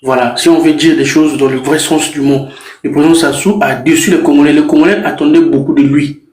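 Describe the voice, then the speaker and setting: A man's voice: fairly high pitch, fairly even intonation, fairly quick, darkish tone. Insistent man in an echoey room. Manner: insistent, repeats himself. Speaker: panelist. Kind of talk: online debate.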